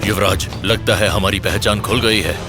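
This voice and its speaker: Actor as deep-voiced, level-headed warrior companion. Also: male voice, steady tone, normal speed, over music, lightly acted. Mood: cautious, resolute. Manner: cautioning, resolute. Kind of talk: Hindi audio drama acting.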